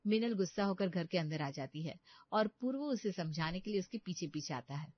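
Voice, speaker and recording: A woman's voice: fairly low pitch, expressive, brisk, no echo. A woman narrating a scripted story as a storyteller, close to the microphone. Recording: slightly dull, dry studio sound.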